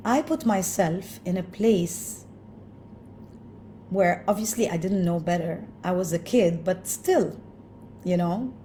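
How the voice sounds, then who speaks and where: A woman from Lebanon telling a personal story in a reflective way, pausing often with fillers as she thinks. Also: on the low side, warm tone, a little hiss or hum. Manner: thoughtful, with frequent pauses and fillers. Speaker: speaker sharing personal story.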